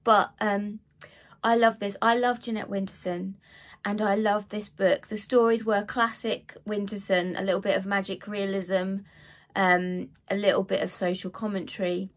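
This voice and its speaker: A woman in her thirties with a cold and a croaky voice, chatting to camera about books she read, with lots of ums and asides. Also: medium pitch, flat delivery, moderate pace. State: hoarse from a cold. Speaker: book vlogger. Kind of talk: casual chat to camera. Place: living room with bookshelves.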